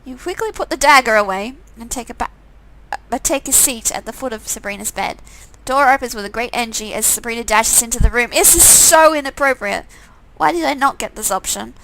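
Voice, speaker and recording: A woman's voice: on the high side, brisk, hardly any pauses, fairly bright tone, a little hiss or hum, low-quality recording. A woman reading a visual novel game aloud, with sarcastic, amused asides in a casual style. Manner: sarcastic, amused commenting between read lines.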